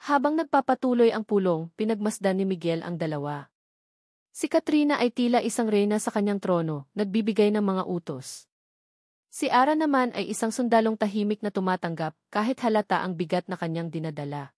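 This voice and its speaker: A woman with a Filipino accent reads a dramatic story as narrator. Her pitch sits in the middle of the usual female range. The sound is dry, with little room in it. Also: animated, a little fast, a little mellow, no room sound.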